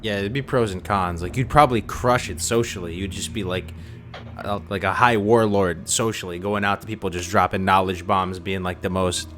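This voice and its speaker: A man's voice: sits low, steady tone, normal speed, fairly bright tone. A man in his late twenties chatting on a gaming stream, laid-back and slangy. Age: 20s. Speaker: guest streamer. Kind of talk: casual group chat on a live stream.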